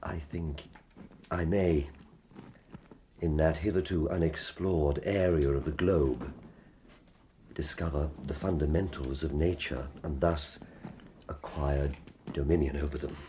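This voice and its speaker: An actor playing a courteous, earnest and ambitious polar explorer captain in a dramatised audiobook, speaking formally. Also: male voice, rumbling low, fairly even intonation, measured pace, long pauses, warm tone, slight background noise. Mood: earnest, confiding.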